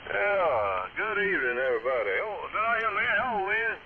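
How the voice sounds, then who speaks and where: Narrow radio audio thick with static carries a man. His voice is high and swings widely in pitch as he chats in a casual, friendly way. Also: sing-song, normal speed. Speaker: amateur radio operator. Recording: very narrow, noisy shortwave radio transmission.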